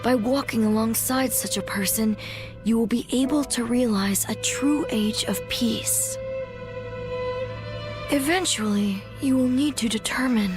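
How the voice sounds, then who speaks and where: A woman voicing a solemn, wise female guide in a video game, with a measured, grave and formal delivery. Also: fairly high pitch, natural voice. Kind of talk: video game dramatic acting. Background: loud music.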